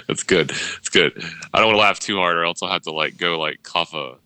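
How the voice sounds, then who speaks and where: Deep-voiced podcast host with a cold. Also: male voice, bright, forceful tone, clean tone, no echo, rough recording. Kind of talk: casual podcast chat.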